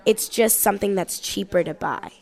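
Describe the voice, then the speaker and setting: A woman's voice: medium pitch, moderate pace, creaky, darkish tone. A twelve-year-old girl chatting casually as a guest in an interview. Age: child, twelve years old. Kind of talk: casual chat. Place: at a conference.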